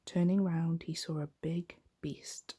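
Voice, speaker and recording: A woman gently reading a bedtime story in an unhurried, soft, whispery ASMR style. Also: low voice, steady tone. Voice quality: breathy, whispery.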